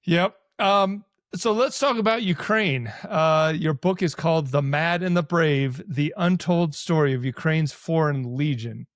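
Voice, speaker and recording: A man's voice: a little high, unhurried, few pauses, dry recording, studio quality. Blunt casual podcast host man. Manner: blunt and casual, short interjections. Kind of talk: casual interview hosting.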